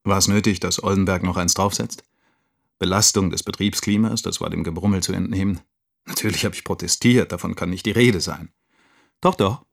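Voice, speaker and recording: A concerned man from a school staff in a radio play. He has a deep voice and narrates his own account like a wry witness. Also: a little fast, gravelly. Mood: indignant, wry. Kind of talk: narrated dramatic monologue.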